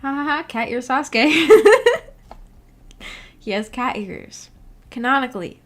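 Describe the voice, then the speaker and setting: A woman's voice: high-pitched, very animated, slow pace. Woman casually chatting on a livestream. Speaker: streamer, book club host. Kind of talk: casual livestream chat, reading and answering viewer comments. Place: indoor home streaming setup.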